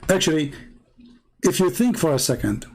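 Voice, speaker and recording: A man's voice: on the high side, sing-song, normal speed, a little mellow, breathy edge. Arabic-accented man, sarcastic debater. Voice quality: broken, non-native grammar. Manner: argumentative, sarcastic rhetorical questions. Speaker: religious debate commentator. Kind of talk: polemical commentary.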